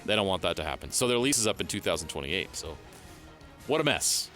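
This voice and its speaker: A man hosting a sports radio show, briskly reading headlines and teasing his co-host. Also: deep voice, sing-song, a bit bright, soft background music.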